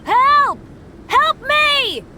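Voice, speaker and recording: A woman's voice: very high voice, big pitch swings, very slow, exaggerated voice. A woman voicing an earnest, self-deprecating teenage boy adventurer in a video game, youthful and apologetic in manner. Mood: desperate. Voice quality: boyish, youthful. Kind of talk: animated game voice acting.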